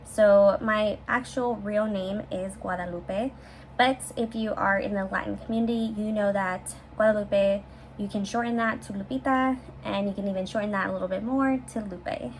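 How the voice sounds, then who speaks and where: A woman's voice: mid-range voice, fairly even intonation, moderate pace, rough recording. A woman in her twenties from San Francisco, chatting upbeat and casually as she answers viewer questions in her own vlog, with some fillers and restarts. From San Francisco, USA. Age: 20s. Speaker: vlogger. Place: her bedroom, heater running. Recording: faint heater hum in room.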